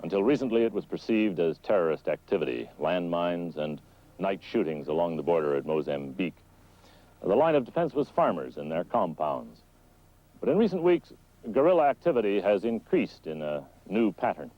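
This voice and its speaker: A man's voice: deep voice, very animated, normal speed, muffled recording. A man reading a scripted news report as a reporter, on 1970s newsreel film sound.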